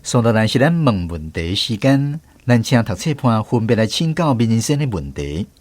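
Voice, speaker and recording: A man's voice: lowish voice, very animated, moderate pace, a little mellow. A man hosting and moderating a discussion, handing over to guests for questions. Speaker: host. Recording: faint guitar music behind. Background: faint plucked guitar music.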